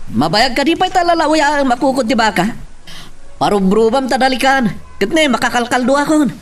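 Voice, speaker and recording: A man playing an excitable, exclaiming husband in an audio drama, with a Filipino accent. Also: very high-pitched, full character voice. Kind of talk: Ilocano radio-style drama acting. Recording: distant mic, faint background noise.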